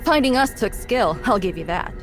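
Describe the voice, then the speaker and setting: An actress playing a proud, shrewd master thief in a game cutscene, sardonic and probing. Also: female voice, medium pitch, sing-song, moderate pace. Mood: challenging. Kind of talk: dramatic video game cutscene acting. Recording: music behind the voice.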